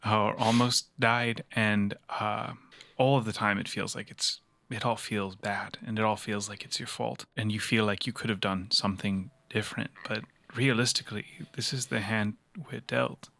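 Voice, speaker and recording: A man playing a consoling, remorseful investigator in an improvised cyberpunk tabletop game, earnest and halting. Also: fairly low pitch, steady tone, vocal fry, a bit bright, no room sound.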